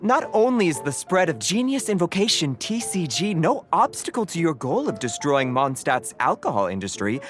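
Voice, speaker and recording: A man's voice: fairly high pitch, sing-song, moderate pace, few pauses, soft background music. An actor playing a pompous, formal young man devoted to his cat, speaking in a flowery style in a game. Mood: lecturing.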